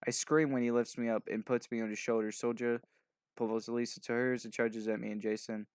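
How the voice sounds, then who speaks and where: A man's voice: mid-range voice, little pitch movement, a little fast, darkish tone, clear, resonant tone, dry recording, clean studio sound. A sleep-deprived man hosting a loose, improvised reading aloud, stumbling and skimming through the words with offhand asides. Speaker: video host doing a fanfic reading.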